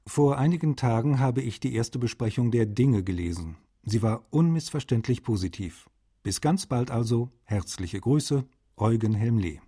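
A courteous literary translator. His voice is mellow and slightly husky, at a middle pitch. He reads his letters politely and formally, as in a radio feature. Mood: friendly. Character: courteous male literary translator writing to an author. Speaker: voice actor reading letters.

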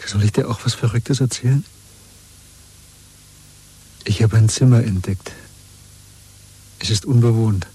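A husky, soft-edged male voice as a disillusioned rebel in a 1970s radio drama. He speaks with brooding, earnest intensity, like someone confiding forbidden thoughts. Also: lowish voice, a little slow, long pauses, poor audio. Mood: quietly excited. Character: disillusioned, brooding rebel man in a dystopian state. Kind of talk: 1970s German radio play acting.

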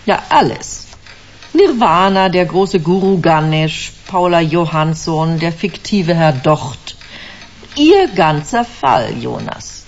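A woman's voice: low-pitched, big pitch swings, takes it slowly, full character voice. An actress playing a smug, commanding company director in a German sci-fi radio drama, suave and theatrical, savouring her words. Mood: businesslike, smug. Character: smug, commanding female corporate director selling a drug. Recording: dull, hissy radio play recording.

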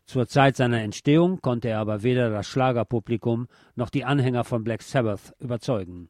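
A man's voice: medium pitch, a little fast, darkish tone, dry recording, studio quality. A man presenting a scripted music-history narration in literate, formal wording with a dryly ironic manner. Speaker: host.

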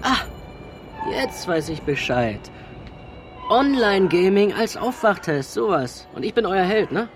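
A flippant young slacker stuck in a simulation. He sounds slightly high for a man and talks in sarcastic, impatient slang, radio drama style, with music underneath. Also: big pitch swings, a little slow, heavily acted. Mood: irritated, flippant. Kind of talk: German radio play acting and narration.

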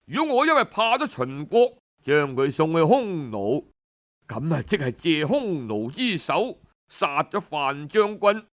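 A man's voice: on the high side, very animated, a little slow, a bit bright. A man with a Cantonese accent voicing a principled, compassionate crown prince who speaks in earnest protest. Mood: earnest, torn.

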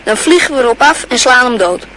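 A woman's voice: fairly high pitch, expressive, brisk, bright, forceful tone, slight background noise, low-quality recording. A woman playing a bossy scheming schoolboy. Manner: bossy, conspiratorial. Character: scheming schoolboy planning a fight.

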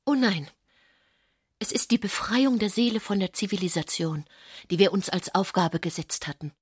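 Husky, idealistic society lady. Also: mid-range voice, animated, moderate pace, no room sound, clean studio sound. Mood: lofty protest. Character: idealistic society lady with lofty soulful convictions. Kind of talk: radio play acting.

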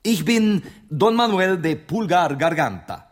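A man playing a gallant, self-assured Spanish gentleman interpreter in a German radio drama, with a Spanish accent. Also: high-pitched, animated, unhurried, husky, heavily acted. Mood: courtly welcome.

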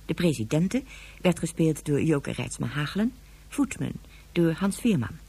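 A woman's voice: deep voice, big pitch swings, normal speed, dark tone, husky. A woman formally reading a scripted closing announcement as an announcer for a radio drama.

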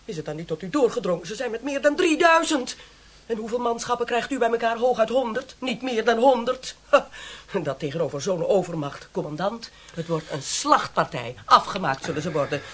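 A woman's voice: a little high, expressive, fairly quick, husky. An actress playing a domineering, scheming middle-class wife who protects her husband, speaking insistently and emphatically in a radio drama. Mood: frantic, defiant.

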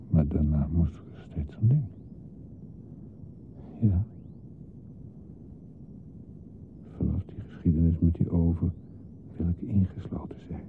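An actor playing a lonely, disturbed man who longs to be a baby, in a hesitant, confiding, often near-whispered radio play monologue. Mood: brooding. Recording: muffled lo-fi recording with hiss and rumble.

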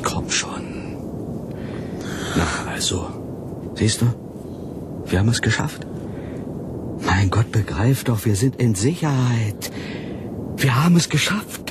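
A tender, coaxing husband in a science fiction radio play. His mid-pitched voice moves widely between hushed soothing and urgent pleading, with rumbling noise behind him. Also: animated, takes it slowly, long pauses.

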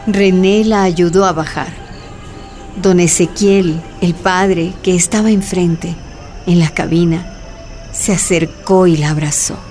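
Low-voiced woman narrating radio drama. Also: fairly even intonation, takes it slowly, mellow, soft background music.

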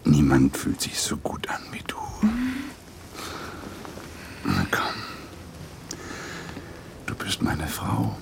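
An actor playing an exhausted, obsessed police detective, intimate and brooding, in a naturalistic radio drama. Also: male voice, very deep voice, very animated, extremely slow, long pauses, in a whisper, heavily acted. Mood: subdued, earnest. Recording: clear rain and ambience noise.

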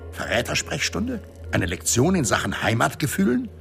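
An actor playing a grumpy, sarcastic old reanimator in a science fiction radio drama, gruff and scornful with a gravelly voice. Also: male voice, mid-range voice, wildly swooping pitch, moderate pace, a bit bright, soft background music, full character voice. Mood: irritated, scornful.

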